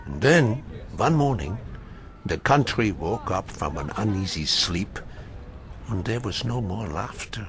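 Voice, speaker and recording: Slow, impassioned weary old man. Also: fairly low pitch, animated, background noise. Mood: haunted, weary. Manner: slow, emphatic, bitterly rhetorical with repeated phrases. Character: weary old German immigrant man, anguished, defiant, scornful of fascists. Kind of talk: theatrical radio drama acting.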